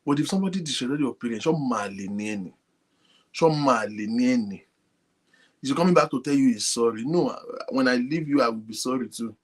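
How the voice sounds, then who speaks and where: A man hosting an online talk show, speaking softly and addressing his viewers directly. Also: medium pitch, animated, a little fast, breathy edge. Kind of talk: casual talk to a live audience. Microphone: phone linked to a computer.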